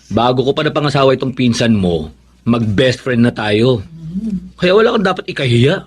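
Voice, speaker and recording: Hearty, husky welcoming man. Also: medium pitch, big pitch swings, normal speed. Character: hearty, welcoming husband and old best friend. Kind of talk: Tagalog radio drama acting.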